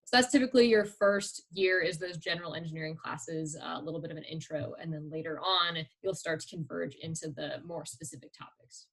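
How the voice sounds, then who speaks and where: A young woman student sums up her program on a video call in a breezy, casual way. Her voice sits low for a woman. She keeps it brisk. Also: fairly bright tone, slightly rough. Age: young adult. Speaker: student presenter. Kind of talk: informal presentation.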